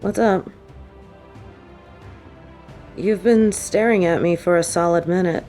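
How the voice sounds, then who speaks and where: A woman voicing a sardonic supervillainess, unhurried, vengeful yet tender, intimate roleplay delivery, faint music behind. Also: on the low side, dark tone. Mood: flustered, hesitant. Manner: teasing, sighing, halting when confessing. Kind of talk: intimate audio roleplay acting.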